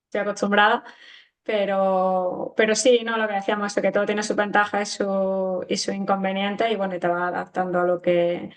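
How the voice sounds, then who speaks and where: A woman from Granada in her thirties with an Andalusian accent, interviewing a guest in a relaxed, chatty way and laughing easily. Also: mid-range voice, a little fast. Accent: Andalusian Spanish. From Granada, Spain. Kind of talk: casual interview chat.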